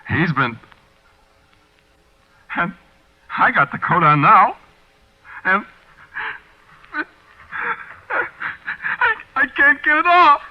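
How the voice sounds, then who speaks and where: A man's voice: mid-range voice, husky, exaggerated voice. An actor with an American accent playing a cold-blooded small-time crook, telling his story in tough, confiding slang in 1940s radio drama style. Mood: shocked, unnerved. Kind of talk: 1940s radio drama first-person narration. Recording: muffled 1940s radio recording.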